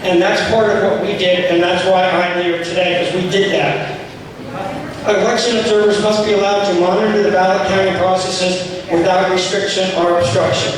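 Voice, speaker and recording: A hoarse man giving an impassioned speech at a political group meeting, rallying the room and asking it questions. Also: high-pitched, little pitch movement, normal speed, crowd noise. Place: meeting hall. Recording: echoey hall from far off, noisy.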